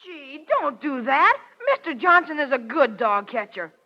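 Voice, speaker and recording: An eager young American boy in an old radio comedy. The voice is very high and bright, swinging up and down artlessly. The sound is a dull vintage recording. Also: expressive, a little slow. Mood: pleading. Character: earnest, tattletale young boy, the son. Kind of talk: 1940s radio comedy acting.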